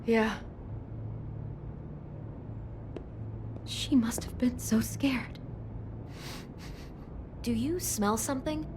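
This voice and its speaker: A young female hotel worker in a video game drama. Her voice sits fairly high, anxious and questioning, with a blunt, sharp-tongued edge. Ambient noise is clearly audible in the background. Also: takes it slowly. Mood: sad, hushed.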